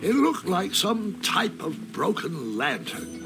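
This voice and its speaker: A man's voice: high-pitched, huge pitch swings, takes it slowly, exaggerated voice. An actor playing an elderly king who fondly narrates tales of his youth in an adventure game. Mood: reminiscing. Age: older. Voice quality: gravelly. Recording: music playing behind the narration.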